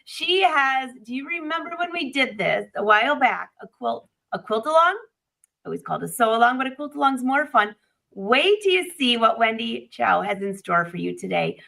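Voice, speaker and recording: A woman hosting a live sewing stream, bubbly and upbeat, her voice a little raspy today. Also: fairly high pitch, very animated, normal speed, sharp tone. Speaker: host.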